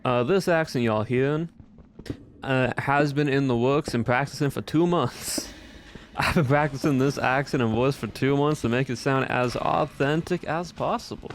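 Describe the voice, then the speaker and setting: An easygoing Southern cowboy played by a man chats in a mid-pitched, folksy drawl that blends several Southern US accents. He is courteous and casual, like a game streamer in character, with clear background noise and clicks. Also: moderate pace, natural voice. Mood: easygoing, chatty. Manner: folksy, courteous, uses y'all and ain't. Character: folksy male Southern cowboy gunpowder-factory owner, easygoing. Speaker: livestreamer playing a game. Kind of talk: casual in-character livestream chat.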